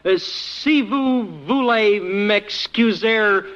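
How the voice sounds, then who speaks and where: An actor playing an eager, polite, naive young husband in a 1950s radio comedy, boyish and earnest. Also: male voice, high voice, extremely slow, fairly bright tone, full character voice. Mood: earnest, bemused. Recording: muffled 1950s radio recording.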